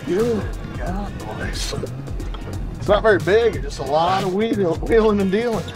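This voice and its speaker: A man's voice: sits high, measured pace, over music. A man chatting casually while fishing outdoors on a boat, picked up by a camera mic nearby. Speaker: recreational angler. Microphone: camera mic a few feet away.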